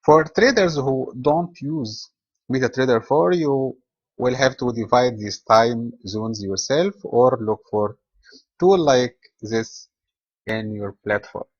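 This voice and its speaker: A man from Egypt hosts an online trading webinar, reading his lesson at a steady, even pace with slightly non-native phrasing. The recording is dry and somewhat dull, with a mid-range voice. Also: mid-range voice, slow pace, no echo. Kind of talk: scripted webinar lecture.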